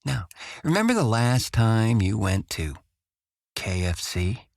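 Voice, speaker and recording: A man with an American accent delivering quirky comic radio commentary in drawn-out asides. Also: fairly low pitch, sing-song, creaky, slight background noise.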